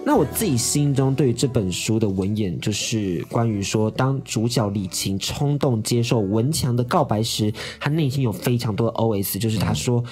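A man's voice: medium pitch, lively intonation, normal speed, hardly any pauses, darkish tone, soft background music. A man with a Taiwanese accent hosting a radio book show in conversational commentary. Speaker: radio host.

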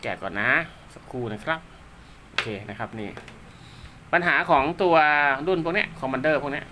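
A man with a bright, forward voice talks casually through hands-on technical work. He is polite and rambles a little, as if half talking to himself while handling gear. Faint ambient noise sits behind him. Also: mid-range voice, animated, normal speed. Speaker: radio technician and seller presenting his work. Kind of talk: casual hands-on unboxing commentary.

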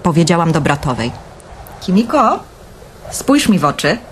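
A woman's voice: on the low side, big pitch swings, moderate pace, slight background noise. An actress playing a dreamy, wry young woman who narrates her own story in a radio drama.

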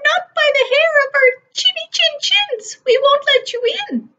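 A woman voicing frightened little pigs in a children's story, with high squealing cries. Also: expressive, moderate pace, bright, forceful tone, full character voice. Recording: dull lo-fi sound.

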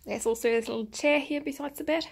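A young woman chatting casually to her viewers as a travel vlogger, with a husky voice. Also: high-pitched, fast. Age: young adult. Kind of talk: casual vlog chat to viewers. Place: small hotel room. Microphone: handheld camera at arm's length.

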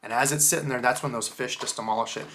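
A man, hosting a casual fishing lure unboxing from a small indoor room and explaining each bait in a relaxed, chatty way. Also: mid-range voice, fast talker, background noise. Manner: relaxed, chatty, explains gear step by step with fillers. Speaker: fishing channel host.

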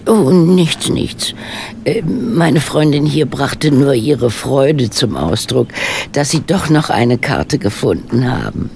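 Animated, creaky-voiced older lady sleuth. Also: extremely low pitch, slow, a little hiss or hum, heavily acted. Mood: polite, curious. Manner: polite, probing, wry. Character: inquisitive older female amateur sleuth, polite and shrewd. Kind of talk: German radio play acting.

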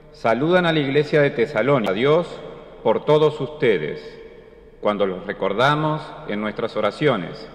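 An older man, solemnly reading scripture as a lay reader at a lectern. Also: mid-range voice. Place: large brick church. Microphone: lectern gooseneck microphone. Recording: echoey church, long reverb.

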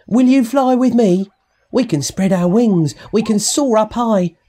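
A man voicing an eager, playful little bird with an excited sing-song manner in a storybook. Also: high voice, measured pace, pitched above the performer's own voice. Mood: excited, inviting.

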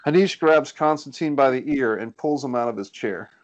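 A man's voice: mid-range voice, normal speed. A man reading scripted stage directions as narrator of a screenplay table read. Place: indoors on a group video call.

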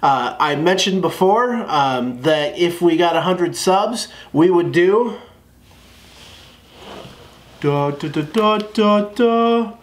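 A man's voice: high-pitched, takes it slowly, noisy background. A man in his thirties hosting a casual unboxing review in a small room, upbeat and chatty with his viewers. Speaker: channel host. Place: small indoor room at a table.

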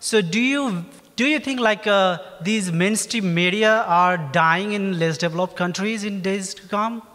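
A man with a Nepali accent asking an audience question at a stand microphone, halting and full of filler phrases. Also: high-pitched, pushed and bright. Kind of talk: unscripted public question. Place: theatre, standing below the stage. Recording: reverberant theatre hall.

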